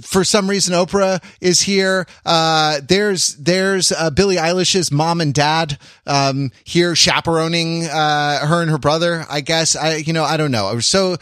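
A man's voice: a little high, fairly even intonation, a little slow, few pauses, fairly bright tone, no echo, clean studio sound. An American man hosting a podcast with casual comedic banter, rambling and playful as he goes. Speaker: podcast host.

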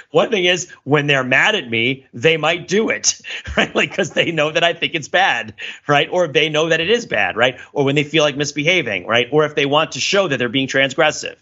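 A man's voice: on the high side, expressive, moderate pace, pushed and bright, dull audio. A middle-aged man co-hosting a podcast, talking through ideas in a casual, rambling, analytical way and often checking in with "right?". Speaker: podcast co-host.

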